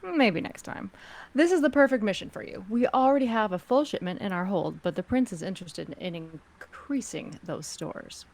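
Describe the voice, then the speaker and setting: A woman playing a cool, commanding crime-syndicate agent in a script table read, speaking in a measured, formal way. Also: medium pitch, expressive, creaky, darkish tone.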